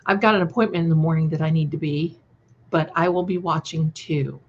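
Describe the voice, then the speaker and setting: A woman hosting a casual livestream, chatting to viewers in an affectionate, effusive and somewhat halting, scattered way. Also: low-pitched. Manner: affectionate, effusive, addressing listeners with endearments. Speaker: livestream host and creator. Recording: dull, lo-fi livestream audio.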